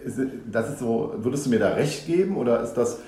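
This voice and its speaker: A man who is a teacher and teacher trainer, putting measured questions to a guest in a panel discussion. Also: medium pitch, animated, dark tone. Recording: echoey room.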